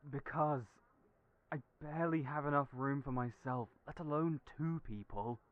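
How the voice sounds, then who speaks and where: A man's voice: on the high side, moderate pace, no echo, close to an everyday voice. Guy doing a nervous kind young guy, hesitant and worried, sounds like over a phone. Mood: worried, defensive. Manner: hesitant, worried, self-deprecating. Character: Julian, a kind, anxious, self-doubting young man. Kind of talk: audio roleplay acting. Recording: tinny phone-like audio.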